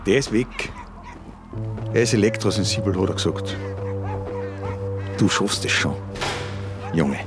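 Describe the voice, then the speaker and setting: Brusque Austrian conspirator, music behind. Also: male voice, low-pitched, lively intonation, a little slow, pauses often. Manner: brusque, sarcastic. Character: gruff, impatient man, bossy would-be world-saving conspirator. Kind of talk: radio drama.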